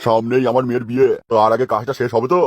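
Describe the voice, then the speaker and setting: A man's voice: mid-range voice, level tone, rapid-fire, lightly acted. A man playing an impatient, anxious father who hurries along his builders in a comic cartoon dub. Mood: impatient, pressing.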